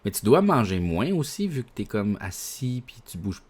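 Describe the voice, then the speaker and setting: Quebec French podcast host, low-voiced, animated, swears freely, casual chat. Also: male voice, normal speed, slightly rough. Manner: animated storyteller, swears and laughs often.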